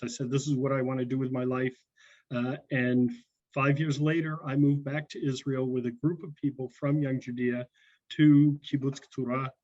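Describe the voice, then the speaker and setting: Man in his sixties, halting with ums and uhs, video call audio, muffled. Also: mid-range voice, darkish tone. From North Carolina. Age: 60s. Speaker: guest speaker. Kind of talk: personal talk to a congregation.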